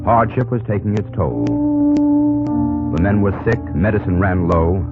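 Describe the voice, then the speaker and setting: A man's voice: low voice, a little slow. A man giving dramatic scripted narration for an old-time radio drama. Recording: tinny old radio recording, music behind.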